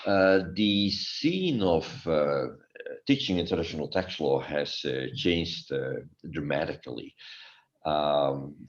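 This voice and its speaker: A man's voice: very deep voice, expressive, slow pace. A man giving a brief overview on a video call, hesitant with frequent fillers and restarts. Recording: dull lo-fi call audio.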